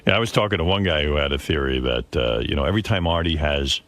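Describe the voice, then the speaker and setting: A man's voice: rumbling low, lively intonation, quick pace, a bit bright, dry recording. An American man in middle age talking off the cuff as a radio host, in a blunt, conversational way. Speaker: radio talk show host. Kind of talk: unscripted morning radio talk.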